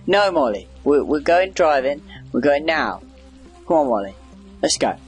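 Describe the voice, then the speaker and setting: A man's voice: a little high, very animated, moderate pace, soft background music. A man giving casual, rambling video game commentary, wry and chatty as he reacts aloud. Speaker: gamer doing a video game playthrough.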